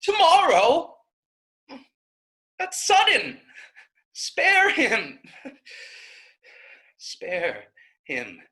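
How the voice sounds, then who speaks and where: Actress as young Shakespearean heroine: pleading, righteous, rhetorical, highly animated pitch, forceful edge, measured pace. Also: female voice, exaggerated voice. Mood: desperate. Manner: impassioned, rhetorical verse delivery. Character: pleading young maiden Isabella, passionate and righteous. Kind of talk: Shakespeare staged reading.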